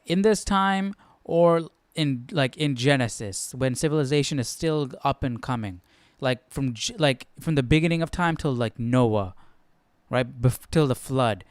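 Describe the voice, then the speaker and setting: A man muses casually and rambles with lots of fillers, thinking aloud between readings. His voice is smooth and clear. The sound is dry and close, with no room echo. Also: mid-range voice. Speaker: Bible study livestream host. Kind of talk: casual musing commentary.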